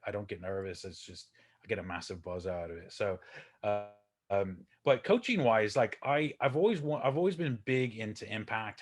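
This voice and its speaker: A man in his forties, from Texas, talking as a guest on a podcast. His voice sits low, and he rambles in a friendly, self-deprecating way, full of ums, uhs and restarts. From Texas, USA. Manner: chatty, self-deprecating, many fillers and restarts. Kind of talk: casual interview talk.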